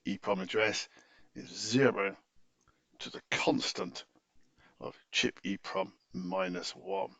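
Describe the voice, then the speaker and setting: A man giving an unscripted, methodical screen-share software tutorial in an explanatory, instructive way, on a dull recording. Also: low-pitched, takes it slowly, long pauses, no room sound.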